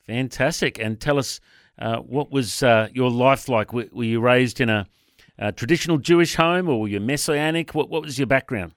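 A man's voice: medium pitch, moderate pace, no room sound, studio quality. Man hosting a radio interview. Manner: prompting with short, simple questions. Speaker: radio show host.

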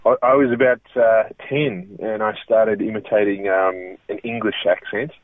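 A low-voiced Australian man talks earnestly about his life as a guest, stopping and restarting as he goes. He comes through a tinny, narrow phone line with a dry, close sound. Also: steady tone, no room sound. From Melbourne, Australia. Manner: earnest, halting, many restarts. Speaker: guest comedian and impressionist. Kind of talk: casual interview.

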